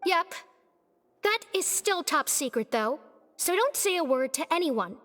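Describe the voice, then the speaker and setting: Spirited young worker, video game, high voice, playful defensive banter, reverberant. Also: female voice, sing-song, a little slow, a bit bright, heavily acted. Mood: teasing, flustered. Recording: long reverb.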